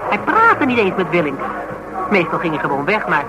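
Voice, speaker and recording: A woman's voice: on the low side, huge pitch swings, normal speed, loud music behind the voice, rough recording. An actress with a Netherlands Dutch accent playing an outspoken woman who argues her case emphatically, in colloquial and teasing radio drama dialogue. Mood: teasing, persuasive. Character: outspoken woman reasoning stubbornly with a fanatic cop.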